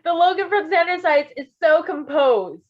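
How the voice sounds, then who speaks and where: A woman reading fan fiction aloud in a casual, giggly, expressive way, breaking into chatty asides. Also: extremely high pitch, normal speed, dull audio. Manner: giggly, expressive, exclaiming and swearing. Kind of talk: giggly live read-aloud with casual chat.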